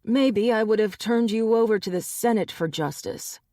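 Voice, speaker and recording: A woman voicing a cold, dangerous adult male shapeshifter enforcer in an audiobook, speaking with low threat. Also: normal speed, warm tone, no room sound, studio quality, lightly acted. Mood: menacing.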